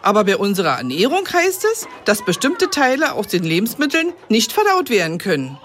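A woman's voice: medium pitch, huge pitch swings, a little fast. A woman playing a patient old kindergarten teacher who lectures the children in a radio comedy for kids. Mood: explaining. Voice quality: explaining, singsong listing. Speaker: kindergarten teacher Frau Hühnerbein.

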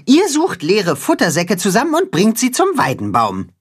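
A storyteller voicing a bossy, clever cat-hen leader who gives brisk orders in a raised, breathy voice. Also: mid-range voice, huge pitch swings, pitched above the performer's own voice. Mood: commanding. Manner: brisk, commanding.

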